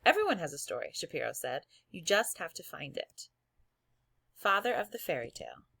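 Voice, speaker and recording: A woman's voice: on the low side, huge pitch swings, normal speed, vocal fry, pushed and bright, slightly rough, no echo. A woman schoolteacher reading aloud and giving her class encouraging, step-by-step instructions in a recorded lesson. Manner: addresses pupils directly, encouraging, step-by-step. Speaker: elementary school teacher.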